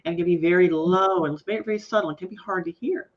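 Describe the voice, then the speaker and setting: A woman with a Southern American accent hosting a career chat, speaking deliberately in a low, somewhat nasal tone. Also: highs cut off. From Southern United States. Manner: deliberate, carefully enunciated speech. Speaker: career coach, host. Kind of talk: casual chat.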